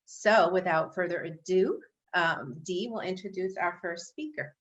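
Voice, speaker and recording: A woman's voice: lowish voice, unhurried. A woman hosting an online training event, giving chatty announcements with many fillers and restarts. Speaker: event host. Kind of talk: casual online meeting announcements. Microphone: video call. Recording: dull video call audio.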